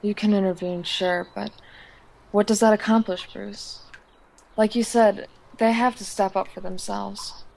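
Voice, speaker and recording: A woman playing an aloof high school outcast in an audio drama, dismissive and sardonic. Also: steady tone, measured pace, vocal fry, a little mellow. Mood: cold, dismissive. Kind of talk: amateur audio drama acting.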